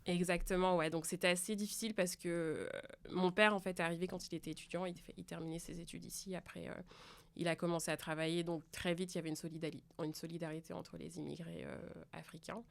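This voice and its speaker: Gravelly-voiced woman telling her story. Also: on the low side, little pitch movement, fast talker. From France. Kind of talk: casual round-table chat.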